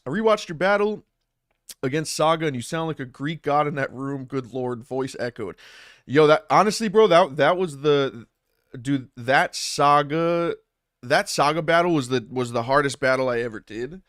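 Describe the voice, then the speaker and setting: A man's voice: fairly high pitch, moderate pace, fairly bright tone, no room sound. A man chatting casually on a livestream about battle rap, rambling loosely with many restarts and filler like "man" and "bro", recorded on a studio dynamic mic at a home setup. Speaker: streamer and battle rap commentator. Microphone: studio dynamic mic through audio interface.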